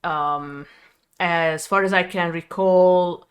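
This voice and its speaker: A woman in her thirties with a Hungarian accent, telling a personal story to her viewers in a reflective, halting, candid way. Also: fairly low pitch, steady tone, clean tone. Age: 30s. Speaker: video creator.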